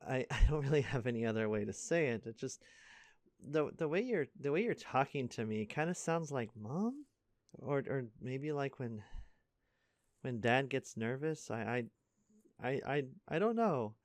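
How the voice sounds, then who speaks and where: A man playing an earnest, caring high school senior in an intimate audio roleplay, speaking casually and haltingly to a best friend. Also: animated, no room sound, natural voice. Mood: worried, confused. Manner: casual, halting, stammering, conversational.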